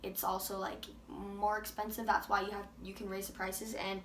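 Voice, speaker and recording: A woman's voice: medium pitch, completely flat delivery, moderate pace, fairly bright tone, a little hiss or hum. A teenage girl with a cold chatting casually as co-host of a video, full of filler "likes". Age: teens. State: has a cold. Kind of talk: casual chat. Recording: echoey room.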